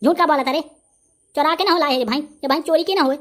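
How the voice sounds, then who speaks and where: Man acting a high-pitched bullying village tough, Bundelkhandi accent. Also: fast talker, a bit bright. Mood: menacing. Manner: threatening, boastful, ranting. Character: bullying, short-tempered male village tough. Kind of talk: comic cartoon dialogue.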